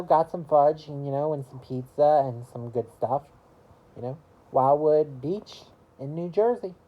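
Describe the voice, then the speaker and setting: Slow, low-voiced young woman rambling. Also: highs cut off. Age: early 20s. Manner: rambling, halting, leans on "you know" fillers. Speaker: vlogger. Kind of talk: casual year-review monologue to camera.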